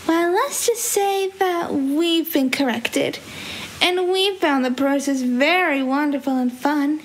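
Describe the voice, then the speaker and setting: A woman's voice: sits high, takes it slowly. A young woman voicing a manically cheerful, deranged female cartoon villain creature with a gleeful, sinister, coaxing delivery. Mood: sweetly coaxing. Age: young adult. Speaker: amateur voice actor. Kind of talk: theatrical character voice lines. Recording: faint hiss, home recording.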